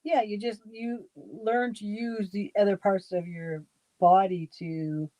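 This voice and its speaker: Livestream co-host, woman, mellow warm voice, relaxed storytelling, dry mic. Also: medium pitch, slow, dry recording, rough recording. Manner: easygoing, tells little stories, soft trailing endings. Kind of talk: casual chat.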